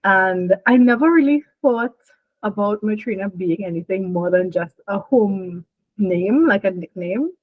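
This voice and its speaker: A woman's voice: mid-range voice, a little slow, dry recording. A young woman from Trinidad and Tobago, a marine biologist talking casually and laughing often as she explains things in an interview. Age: young adult. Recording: dry, phone-like line.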